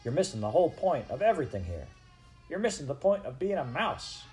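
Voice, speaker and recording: A man voicing a bossy, impatient young male mouse in a storybook, loud, urgent and scolding. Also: fairly low pitch, sing-song, fairly quick, soft background music. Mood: scolding, exasperated.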